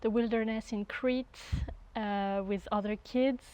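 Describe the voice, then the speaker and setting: A woman in her thirties from France, a guest sound artist talking unhurriedly and often pausing to search for words. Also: a little high, fairly even intonation, dark tone, clean tone, rough recording. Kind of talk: casual conversation.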